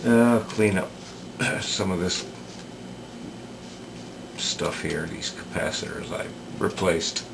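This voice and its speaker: A man giving unscripted workbench commentary as a repair hobbyist, hesitant and halting as he thinks aloud. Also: low-pitched. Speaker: radio repair hobbyist demonstrating work. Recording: clear background noise.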